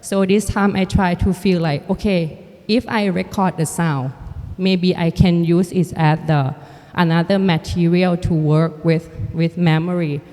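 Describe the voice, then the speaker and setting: A Thai woman artist and filmmaker, a native Thai speaker, talking reflectively in a halting way with many restarts and fillers. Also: fairly low pitch, steady tone, dark tone. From Thailand. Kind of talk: reflective conversation. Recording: hall with long reverb.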